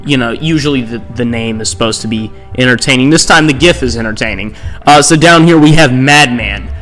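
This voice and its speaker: A man's voice: medium pitch, fairly quick, fairly bright tone, soft background music. Rambling man hosting a casual video. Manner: rambling, digressive, often tagging statements with "right?". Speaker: host of tier-list videos. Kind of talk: casual, unscripted ranking commentary.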